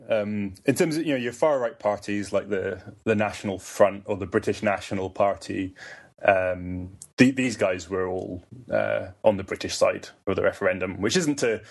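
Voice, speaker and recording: Low-voiced man explaining calmly. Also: creaky. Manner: hesitant, explanatory, many restarts. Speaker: guest. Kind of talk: casual podcast conversation.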